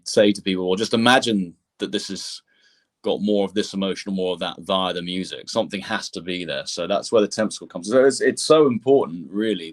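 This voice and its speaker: A man giving discursive explanations as an interview guest, often restarting and repeating words as he goes. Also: low-pitched. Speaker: composer and sound engineer, interview guest.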